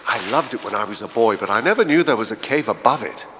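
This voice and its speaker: Man acting an expressive father in a cavernous space. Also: mid-range voice, fast talker, close to an everyday voice. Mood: musing. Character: children's father, a grown man, reminiscing. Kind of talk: children's audiobook dramatisation. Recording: noisy, reverberant hall-like sound.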